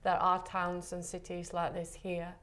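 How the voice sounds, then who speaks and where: A woman from the Republic, a photographer guest talking in a relaxed interview, soft and hesitant with many pauses. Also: on the low side. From Czech Republic.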